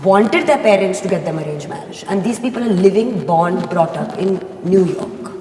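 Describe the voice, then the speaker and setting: A woman's voice: fairly low pitch, expressive, normal speed, mellow, a little hiss or hum. A woman making a confident, persuasive case in a panel debate. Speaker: debate participant. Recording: echoey studio hall.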